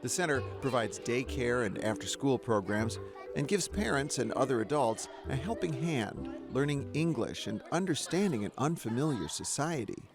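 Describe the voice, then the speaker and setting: A man's voice: medium pitch, normal speed. A man hosting and narrating a documentary in a wry, conversational way, sometimes asking interview questions. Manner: wry, conversational, lively delivery. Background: music under the narration.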